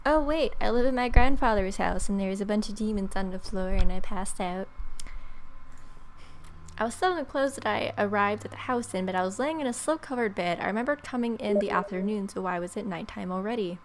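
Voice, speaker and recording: A young woman hosts a let's play, reading story text aloud at a middling pitch and slipping in casual asides. She is close to a desk mic in a bedroom, with some faint noise behind her. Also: brisk. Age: young adult. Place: small bedroom, indoors. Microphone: desk microphone up close, gaming headset on. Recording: clear background noise.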